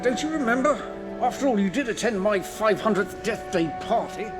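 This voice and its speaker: An actor playing a courteous, theatrical old gentleman ghost in a video game, with a plummy, formal English delivery. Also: high voice, animated, moderate pace. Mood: genial, wistful. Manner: genteel, formal. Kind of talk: video game cutscene acting. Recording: game music behind the voice.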